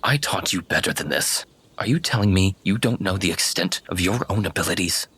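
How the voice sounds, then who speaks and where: A man's voice: low voice, a little fast, a bit bright, husky, no room sound, exaggerated voice. A man voicing a stern but caring woman warrior mentor in a tabletop game, with commanding, solemn encouragement.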